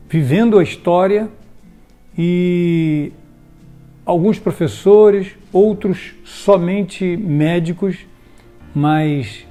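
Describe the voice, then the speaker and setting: A man hosting a tribute web series, speaking earnestly and haltingly with many restarts. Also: fairly high pitch, warm tone. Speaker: host.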